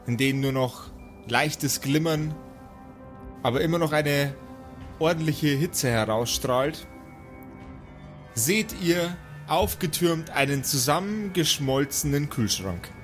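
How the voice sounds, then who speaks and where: A man's voice: a little high, lively intonation, takes it slowly, long pauses. Male tabletop game master, mock-formal storyteller phrasing, improvised delivery over a music bed.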